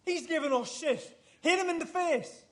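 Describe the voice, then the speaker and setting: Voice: very high voice, normal speed. An actor playing an aggressive, foul-mouthed, volatile accuser in a radio play, confrontational and repetitive. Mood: hostile.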